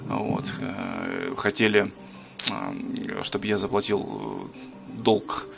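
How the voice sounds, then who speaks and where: A man's voice: low-pitched, sing-song, slow pace, gravelly. A man making prank calls, mocking and crude, swearing freely. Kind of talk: prank phone call.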